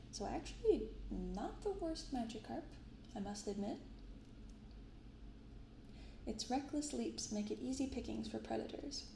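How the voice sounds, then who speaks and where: Soft-spoken woman, low creaky voice, roomy sound. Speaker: host of a card-opening channel. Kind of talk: soft-spoken ASMR narration, reading card text aloud. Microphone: binaural microphone. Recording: roomy sound with some background noise.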